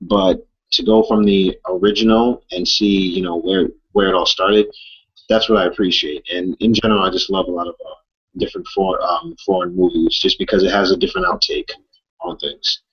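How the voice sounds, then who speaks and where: A man co-hosting a movie review in a casual chat, speaking haltingly as he searches for words. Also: deep voice, fairly even intonation, fairly bright tone, husky. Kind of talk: casual film discussion. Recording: dull lo-fi recording.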